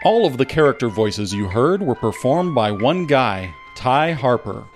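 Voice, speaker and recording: A man reads a scripted credits announcement as the show's writer. His medium-pitched voice is lively and varied. Faint music plays behind him. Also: measured pace. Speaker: writer and composer reading the credits.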